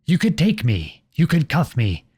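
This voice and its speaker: A man playing a protective, noble outlaw prince in an earnest, dramatic ASMR audio roleplay, with a soft, husky voice close to the mic. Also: a little high, wildly swooping pitch, a little slow, no echo, heavily acted. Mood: betrayed, angry. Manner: earnest, dramatic, addresses unseen listeners directly. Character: outlawed young prince, protective, noble, devoted to his beloved. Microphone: close studio-style microphone, dry.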